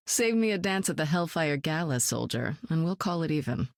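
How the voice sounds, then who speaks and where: A seasoned woman superspy with a cool, wry, self-assured delivery. Her voice sits a little low and is recorded close and dry, with no room around it. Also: animated, normal speed, dry recording. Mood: cool, teasing. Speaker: game hero. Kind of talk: video game voice lines.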